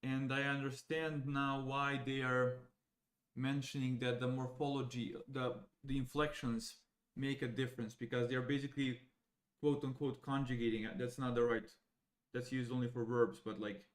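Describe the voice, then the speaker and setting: Young man, native serbian speaker, live coding walkthrough, halting, thinking aloud, mid pitch. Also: level tone. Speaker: AI educator.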